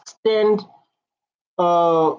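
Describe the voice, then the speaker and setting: A man's voice: high voice, fairly even intonation, very slow, clean tone, dull audio. Rambling man reacting to a clip. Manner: rambling, filler-heavy, reads viewer comments aloud. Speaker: reaction video host. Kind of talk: casual commentary over a clip.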